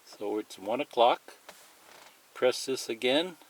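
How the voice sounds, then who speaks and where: Male tutorial presenter, unhurried and plain-spoken, medium pitch, explaining a device step by step on a noisy home-made recording. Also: animated. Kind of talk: casual hands-on product demonstration. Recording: clearly noisy amateur recording, clicks and beeps.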